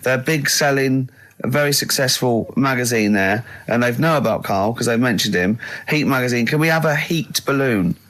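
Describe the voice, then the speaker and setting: Radio co-host guy, middle pitch, just bantering casually. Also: moderate pace, a bit bright, rough recording.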